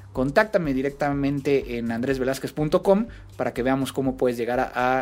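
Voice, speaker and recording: A man, hosting a podcast and talking casually, with frequent fillers and restarts. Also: mid-range voice. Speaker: podcast host.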